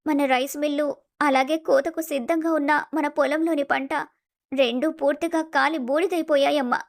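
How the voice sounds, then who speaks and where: A woman playing a gentle, devoted young adult daughter in a melodramatic family story. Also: high voice, steady tone, moderate pace. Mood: sad, grave.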